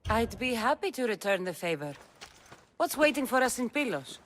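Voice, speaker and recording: A woman's voice: fairly high pitch, very animated, moderate pace, fairly bright tone, a little hiss or hum. A woman playing a bold, resolute young Spartan mercenary in a video game, blunt and assertive. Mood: curious. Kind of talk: dramatic video game acting.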